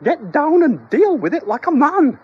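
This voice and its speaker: A man voicing a shrill, panicky, bossy wife in a screeching falsetto. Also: very animated, moderate pace, muffled recording. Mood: exasperated. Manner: bossy, exasperated.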